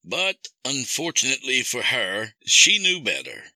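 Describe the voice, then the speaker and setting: A man plays a jilted husband turned villain in a horror tale. The voice is raised and swooping, with a taunting, coldly amused calm that promises cruelty. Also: medium pitch, unhurried, dry recording, pitched above the performer's own voice, full character voice, rough recording. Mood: cold menace. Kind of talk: audio horror story character acting.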